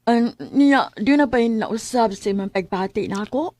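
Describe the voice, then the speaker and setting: An actress playing a gentle young single mother in love in a radio drama, with a Filipino Bisaya accent. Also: a little high, normal speed, no room sound, lightly acted. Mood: coy, hesitant.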